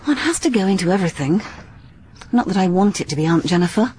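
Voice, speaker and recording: A woman playing a brisk girl sleuth. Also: medium pitch, animated, a little mellow, a little hiss or hum, close to an everyday voice. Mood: determined. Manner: crisp and insistent. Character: brisk, determined young amateur sleuth woman.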